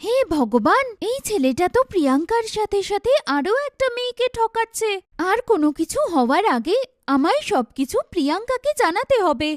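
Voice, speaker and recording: A woman voicing a kind, self-effacing young sister in a cartoon, speaking in a gentle, earnest way. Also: very high voice, big pitch swings, brisk, dry recording, clean studio sound. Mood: worried. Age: young adult. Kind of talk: cartoon dialogue.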